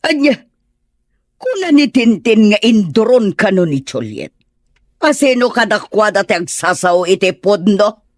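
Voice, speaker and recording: A woman voices a stern older sister who is quick to judge, in an audio drama. Her northern Philippine accented delivery scolds and interrogates with big swings in intonation. The recording is dry. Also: mid-range voice, very animated, dry recording. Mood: scolding, suspicious.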